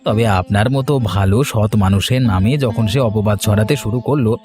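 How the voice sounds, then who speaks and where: A man's voice: lowish voice, normal speed, a little mellow, soft background music, lightly acted. A man playing a sly, scheming, self-righteous villager in a cartoon story, with an insinuating manner. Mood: insinuating, indignant. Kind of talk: dramatic cartoon story dialogue.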